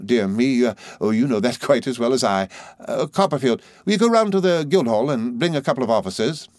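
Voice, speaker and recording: A man voicing a calm, polite and practical young gentleman lawyer in an audiobook, firm but slightly hesitant in manner. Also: medium pitch, brisk, no echo, studio quality, close to an everyday voice. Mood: mild, resolute. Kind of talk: audiobook character voice.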